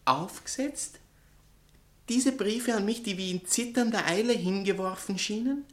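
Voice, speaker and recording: An actor playing a vain, nervous writer and former lover in a satirical radio play, theatrical, sarcastic and easily agitated. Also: male voice, high-pitched, unhurried, fairly bright tone. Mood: wounded indignation.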